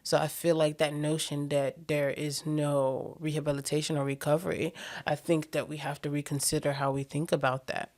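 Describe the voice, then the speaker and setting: A woman with a Bermudian accent and a very low voice hosts a health radio program. She talks to her listeners and her guest in an easy way, using lots of ums. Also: level tone, normal speed. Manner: warm-toned hostess, laughs easily, many ums. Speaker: radio show host.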